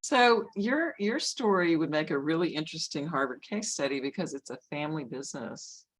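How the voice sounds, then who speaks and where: A woman's voice: low-pitched, lively intonation, brisk. A woman hosting a meet and greet, prompting members with upbeat, encouraging interjections. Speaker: meeting host.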